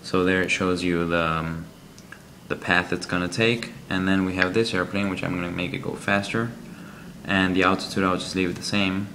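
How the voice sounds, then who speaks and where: A man reviewing a game app, walking through its screens in a halting, explanatory way with frequent ums. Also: deep voice, little pitch movement, sharp tone, slight background noise.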